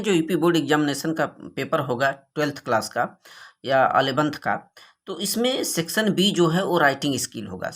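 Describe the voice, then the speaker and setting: A man's voice: a little high, fairly bright tone. A man with a North Indian accent, a native Hindi speaker, giving an explanatory exam lesson as a teacher, didactic and working phrase by phrase. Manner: didactic, reads English slowly phrase by phrase, then explains. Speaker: English teacher for board exam students.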